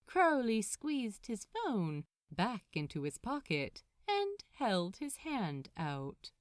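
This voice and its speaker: Female story narrator, very animated intonation, measured pace, close dry sound. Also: a little high, no echo. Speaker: podfic narrator. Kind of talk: expressive scripted fiction narration.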